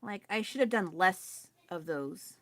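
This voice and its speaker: A woman chatting casually while painting on a live stream at home, self-deprecating and quick to laugh, with a loud voice. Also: lowish voice, lively intonation, dry recording. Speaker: artist livestreaming her painting. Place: at home with family nearby.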